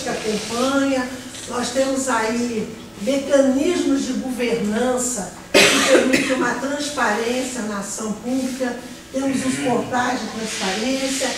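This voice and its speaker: A middle-aged woman with a Brazilian accent lecturing in a classroom, explaining step by step and often checking in with her listeners. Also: high-pitched. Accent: Brazilian Portuguese. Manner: explanatory, repeats and restarts phrases, checks in with "né?". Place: large classroom with a projector. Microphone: no mic, far camera. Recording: echoey room from far off, noisy.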